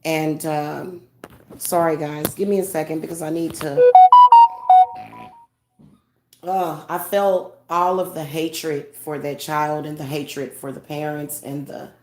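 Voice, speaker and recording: A woman speaking as a spiritual medium in a casual monologue, congested, halting with many fillers and restarts, earnest and tender. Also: sits low, level tone, low-quality recording.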